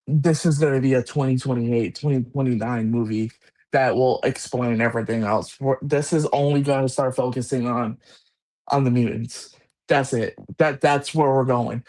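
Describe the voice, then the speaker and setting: Man co-host, mid-pitched voice, casual rambling opinions, lots of stops and restarts. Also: steady tone, moderate pace, darkish tone. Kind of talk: casual chat.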